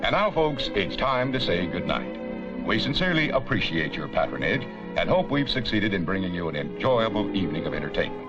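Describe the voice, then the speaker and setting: A man's voice: fairly low pitch, sing-song, a little fast. A man reading an old-fashioned theater closing announcement in a formal, courteous, polished way, on a dull archive recording. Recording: dull old archive clip under loud music.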